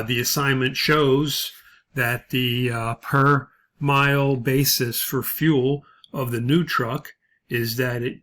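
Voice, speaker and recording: A male instructor with a mid-range voice walks through material step by step. His delivery is halting, with frequent pauses and hesitations as he explains. It sounds like a spoken software tutorial. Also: medium pitch.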